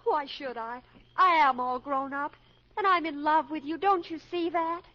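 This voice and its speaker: Casting: impassioned lovestruck college girl, very high bright voice, emphatic radio-drama style, old muffled recording. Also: moderate pace. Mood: hurt, impassioned. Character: impassioned lovestruck college-age daughter, girlish. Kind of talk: 1940s radio drama acting.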